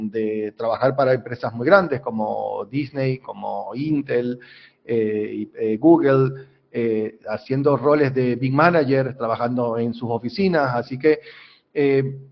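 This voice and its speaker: A man's voice: mid-range voice, muffled recording. A man from Venezuela, a civil engineer explaining his field as an interview guest, with frequent restarts and fillers. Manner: halting, repeats words, many fillers.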